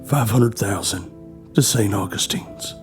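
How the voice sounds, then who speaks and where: A man's voice: on the low side, measured pace, faint music behind, close to an everyday voice. An actor playing a desperate, trapped robber and devoted father in a horror audio drama, speaking with raw emotion. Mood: defeated.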